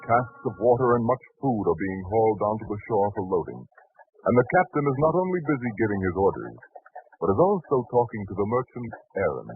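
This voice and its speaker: A man's voice: lowish voice, big pitch swings, brisk, breathy edge. A man narrating formally and solemnly as the announcer of a 1940s radio drama. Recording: muffled 1940s radio broadcast, music behind. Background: music.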